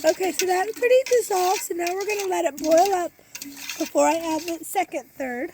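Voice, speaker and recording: Woman, 40s, losing her voice; high, chatty homesteader explaining a recipe outdoors into a close phone camera. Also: normal speed, background noise. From Iowa, US. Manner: chatty, explains step by step with many restarts. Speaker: homesteader and beekeeper. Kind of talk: casual how-to cooking demonstration. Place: outdoor back deck in a farm yard. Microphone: phone camera held close.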